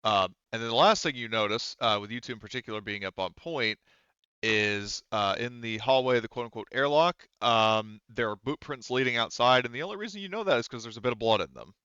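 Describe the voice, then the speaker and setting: A man's voice: medium pitch, lively intonation, fairly quick, sharp tone, clear, resonant tone, dry recording, dull audio. A man narrating a tabletop game as game master in a conversational, explanatory way.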